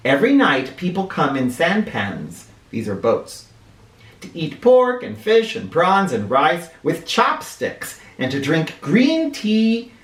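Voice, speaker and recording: A man reads a children's storybook in a roomy recording, a little away from the mic. His delivery is unhurried and very expressive, like a teacher with a class. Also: mid-range voice. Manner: animated, with explanatory asides. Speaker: teacher reading aloud to children. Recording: roomy sound, distant microphone.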